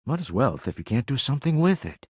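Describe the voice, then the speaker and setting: An actor playing a shrewd, wealthy older businessman in a video game, proud and wry, with a husky voice and a dry, articulate, refined delivery. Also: medium pitch, very animated, fast, a little mellow, no echo. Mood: wry, musing. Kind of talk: video game character dialogue.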